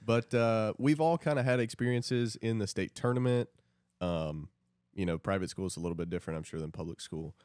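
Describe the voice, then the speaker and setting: A man's voice: on the low side, steady tone, brisk, darkish tone, clean tone, dry recording, clean studio sound. A young man co-hosting a podcast in a casual, conversational way among friends. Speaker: podcast co-host.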